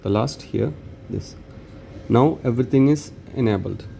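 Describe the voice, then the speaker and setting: A man presenting an unscripted step-by-step tech tutorial in halting, short phrases with non-native phrasing. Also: mid-range voice, gravelly, noisy background.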